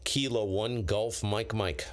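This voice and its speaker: A man with a very low voice and an American accent talks off the cuff as an amateur radio operator on a live stream. He rambles in blunt, slangy, casual phrases. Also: steady tone, slow, a crowd in the background. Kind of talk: casual unscripted chat with viewers, on-air call signs.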